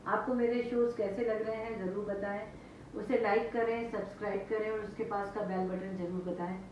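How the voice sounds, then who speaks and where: A woman's voice: medium pitch, steady tone, normal speed. An older woman chef with an Indian accent, explaining a dish step by step to the camera. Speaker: chef, cooking show host. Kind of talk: cooking demonstration to camera. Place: home kitchen, indoors. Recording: echoey, muffled kitchen recording with noise.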